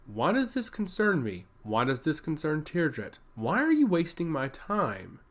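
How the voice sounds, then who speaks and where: A man's voice: on the high side, wildly swooping pitch, normal speed, voice pushed up. A man voicing a haughty, impatient archmage in a fantasy story, curt and dismissive. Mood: perturbed.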